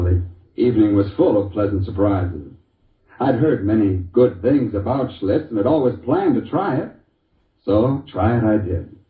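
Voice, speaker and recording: An actor playing a genial, wry husband who tells a folksy, hesitant story in an old-time radio commercial. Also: male voice, medium pitch, distant mic, lightly acted. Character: genial, wry husband telling beer testimonial. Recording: muffled, echoey old radio recording.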